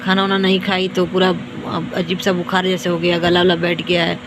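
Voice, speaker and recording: A young woman from India with a hoarse, worn-out voice rants to her viewers, repeating herself and scolding as she boasts. Her delivery stays flat, with little rise or fall. Traffic noise is clearly heard behind her. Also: on the low side, moderate pace. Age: young adult. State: tired. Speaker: vlogger. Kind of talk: self-filmed rant to viewers.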